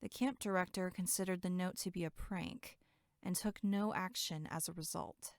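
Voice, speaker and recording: A woman's voice: fairly low pitch, normal speed, no room sound, clean studio sound, close to an everyday voice. A woman acting in an audio drama as a flippant young camp counselor, with a sarcastic, blunt and casual way of talking. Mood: focused.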